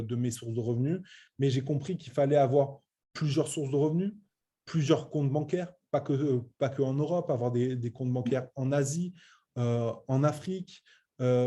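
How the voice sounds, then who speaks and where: Male, late 30s, soft dark tone, medium pitch, informal presenter who stresses points and restarts often. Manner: hesitant, repetitive, emphatic. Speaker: web entrepreneur presenting a training programme. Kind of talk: informal online business presentation.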